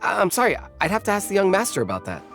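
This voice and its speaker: An actor voicing a hesitant, apologetic attendant in a video game, speaking haltingly. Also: medium pitch, huge pitch swings, fairly bright tone. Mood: unsure, apologetic. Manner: halting, unsure. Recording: game music behind.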